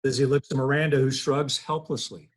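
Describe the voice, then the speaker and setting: A man's voice: mid-range voice, fairly even intonation, brisk. A man in his thirties reading scripted stage directions at a table read over a video call. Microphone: computer on video call.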